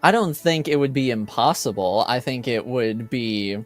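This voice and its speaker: A man's voice: medium pitch, expressive, moderate pace, faint music behind. A man in a casual tabletop game discussion, explaining things carefully and tentatively. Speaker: tabletop roleplaying game participant.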